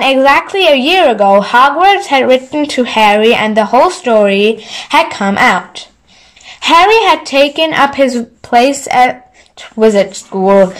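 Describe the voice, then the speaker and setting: A woman's voice: fairly high pitch, a bit bright, low-quality recording. A woman reading a children's story aloud as scripted narration. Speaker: audiobook reader.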